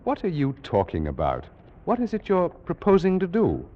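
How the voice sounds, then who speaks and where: An actor playing an astonished gentleman student of Egyptology, narrating and speaking dramatically in an old-time radio drama. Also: on the high side, wildly swooping pitch, normal speed, a little hiss or hum. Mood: incredulous. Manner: dramatic, stammering when startled. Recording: muffled old radio recording.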